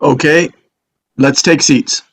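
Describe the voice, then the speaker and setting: Guy reading jury foreman, brisk n businesslike, slightly high voice, table read. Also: steady tone, gravelly, fairly bright tone, slightly rough, heavily acted. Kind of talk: amateur screenplay table read.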